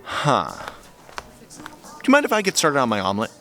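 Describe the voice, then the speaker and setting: A man's voice: medium pitch, wildly swooping pitch, normal speed, a bit bright, soft background music. An actor playing an anxious, wry young American guy in an audio drama, incredulous and easily exasperated. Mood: wry, subdued.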